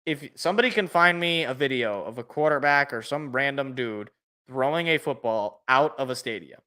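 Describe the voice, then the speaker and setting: A man co-hosting a casual sports podcast, loud and excitable, stumbling over repeated words, his voice worn out. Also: medium pitch, moderate pace, clear, resonant tone. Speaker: podcast co-host.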